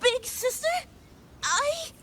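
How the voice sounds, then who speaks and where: A woman's voice: very high-pitched, very animated, takes it slowly, exaggerated voice. A woman voicing a stubborn, earnest young girl in grief, as in a game drama. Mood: startled.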